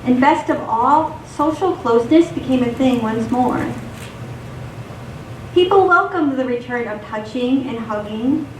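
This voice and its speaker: Lady with a high voice reciting a story on stage, measured, far from the mic, faint noise. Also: expressive, darkish tone. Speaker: radio theatre reader. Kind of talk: scripted poetry and story narration. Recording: distant echoey stage recording, noisy.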